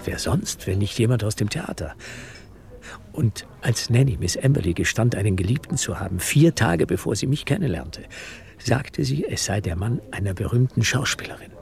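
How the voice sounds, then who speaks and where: Casting: a determined murder suspect turned investigator, radio drama, gravelly low voice, terse and probing. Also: male voice, animated, moderate pace, a little mellow. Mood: urgent, resolved. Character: determined, controlled man wrongly suspected of murder, investigating himself. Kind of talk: German radio drama acting.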